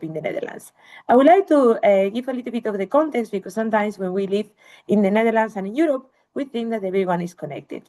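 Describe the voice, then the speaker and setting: A woman researcher delivers an academic webinar lecture in a voice of ordinary, middle pitch. She talks listeners through her slides step by step in an explanatory way, and she is heard through a video call microphone. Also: darkish tone.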